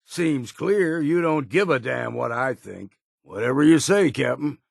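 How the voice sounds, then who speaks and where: An actor playing a grizzled old hard-drinking islander in a video game, with a gravelly, husky voice and a wry, folksy drawl. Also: male voice, medium pitch, lively intonation, a little slow, dry recording, clean studio sound. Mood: irritated. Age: older. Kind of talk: video game character voice lines.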